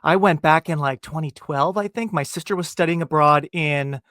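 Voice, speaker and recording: An American man with a somewhat high voice chats as a podcast host in an enthusiastic, informal way, often saying "like". The sound is dry and close, with very little room in it. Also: moderate pace, a bit bright, no echo. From New Jersey, United States. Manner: chatty, enthusiastic, uses "like" often. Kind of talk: casual chat.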